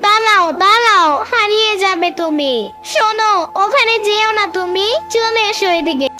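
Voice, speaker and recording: Very high-pitched earnest little boy, acted out. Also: moderate pace, music in the background. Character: young village boy, earnest, plaintive, easily delighted. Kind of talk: children's cartoon voice acting.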